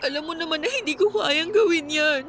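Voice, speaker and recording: A woman's voice: high-pitched, a little fast, a bit bright. A woman playing an ashamed, fearful teenage schoolgirl in a Tagalog radio drama, meek and hesitant. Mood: downcast, resigned. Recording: faint music behind the voice.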